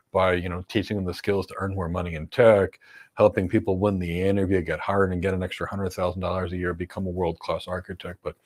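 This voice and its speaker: American man, low voice, career coach, emphatic, blunt, live Q&A. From United States. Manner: emphatic, blunt one-word verdicts. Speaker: host, cloud career coach. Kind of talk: live Q&A answering viewer questions.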